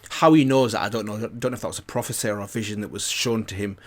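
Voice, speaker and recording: A man with a British accent and a fairly low voice talks casually as a reaction host. He is tentative, working things out aloud as he comments. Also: rapid-fire.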